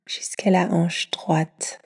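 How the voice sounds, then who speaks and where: A woman leading a guided yoga nidra meditation, speaking softly and soothingly and often trailing into a breathy whisper. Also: fairly low pitch, flat delivery, extremely slow. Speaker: yoga nidra teacher guiding a session.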